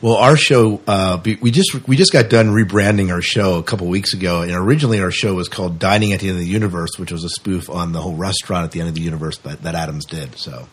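A man's voice: sits low, fast talker, few pauses, breathy edge. A man hosting a podcast, chatting casually and putting questions to a guest. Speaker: podcast host, interviewer. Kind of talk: casual interview chat.

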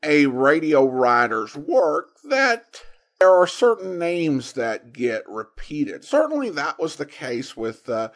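A man hosting a podcast, giving casual commentary on old radio shows in a halting, conversational way with emphatic swings. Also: medium pitch. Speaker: podcast host.

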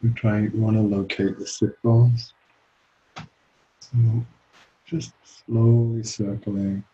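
A man leading a guided meditation as a teacher, speaking calmly and gently with long pauses. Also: on the low side, highs cut off.

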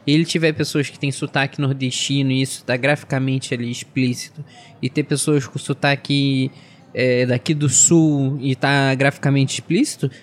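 A man's voice: mid-range voice, fairly even intonation, normal speed. Man, podcast co-host, dry teasing humor, casual talk. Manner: wry, teasing interjections. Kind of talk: casual chat.

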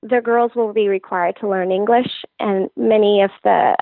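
A woman's voice: medium pitch, steady tone, moderate pace, vocal fry, no room sound. Fitness trainer woman on a tinny phone line. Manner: earnest, enthusiastic explaining. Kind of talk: interview answers.

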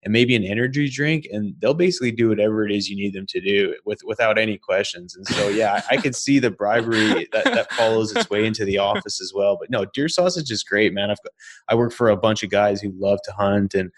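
A man hosting an industry podcast, talking in a relaxed, casual way and saying "man" and "you know" often. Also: on the low side, brisk. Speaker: podcast host. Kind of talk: casual interview chat with read ad.

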